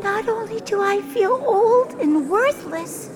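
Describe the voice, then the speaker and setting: A very high-pitched good fairy for a fairytale audio play. She is fluttery, sentimental and plaintive, taking her time, with a crowd behind her. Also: lively intonation, slow, exaggerated voice. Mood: wistful, sorrowful. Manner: flustered, plaintive, exclaiming. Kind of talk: audio theatre fairytale acting.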